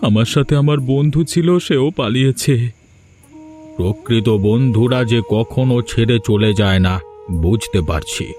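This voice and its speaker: A man's voice: mid-range voice, moderate pace, darkish tone, faint music behind, natural voice. A man voicing a distressed, grateful, stranded village gold seeker in a cartoon. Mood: grateful, hurt. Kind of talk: Bengali moral-tale cartoon dubbing.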